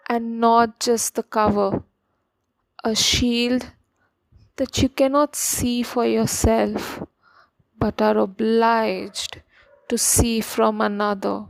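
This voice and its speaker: A woman reading poetry aloud deliberately, pausing between short phrases. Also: on the high side, gravelly, no echo, rough recording.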